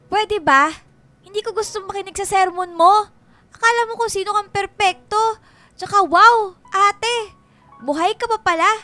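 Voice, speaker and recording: An actress playing a sassy, defiant Filipino teenage younger sister who talks back in a radio drama. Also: female voice, very high-pitched, normal speed, bright, forceful tone, no room sound, faint music behind. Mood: defiant, angry. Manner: cheeky, talking back.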